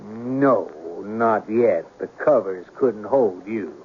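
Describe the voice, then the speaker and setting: An actor playing a courteous, eccentric gentleman writer in a 1970s radio drama, speaking formally and grandiloquently. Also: on the low side, takes it slowly, a little hiss or hum. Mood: pompous, amused. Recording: dull old radio broadcast.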